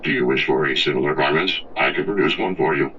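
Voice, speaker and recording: A man playing a polite, literal servant robot in an audio drama, speaking in a formal, measured, deferential way. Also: rumbling low, bright, forceful tone, exaggerated voice. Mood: polite, helpful. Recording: muffled, with faint background noise.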